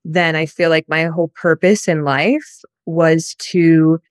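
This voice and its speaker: A woman from the United States, telling her personal story as a guest in an interview, soft and reflective with many pauses. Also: low-pitched, flat delivery, no room sound, clean studio sound.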